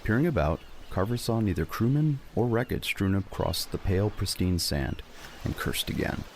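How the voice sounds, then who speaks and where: A man's voice: lowish voice, lively intonation, normal speed, darkish tone, slight background noise. A man narrating a dramatic scripted adventure story as a storyteller.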